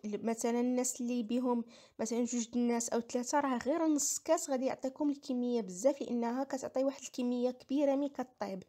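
A woman's voice: sits high, dark tone. A woman with a Moroccan accent giving a casual recipe voice-over, explaining each step and repeating herself. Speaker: home cooking vlogger.

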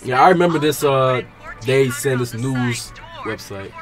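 A man's voice: medium pitch, a little slow. A young man hosting a music reaction, talking casually in an exclamatory, repetitive, slangy way. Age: young adult. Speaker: music reaction video host. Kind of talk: casual excited album reaction. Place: small home room with acoustic foam panels. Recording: music playing in the background.

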